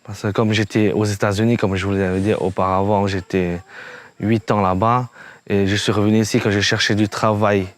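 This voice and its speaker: A man giving a personal testimonial, piecing his phrases together haltingly. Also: fairly low pitch, little pitch movement. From Hmong family, moved to French Guiana at fifteen. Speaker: programme participant, education assistant.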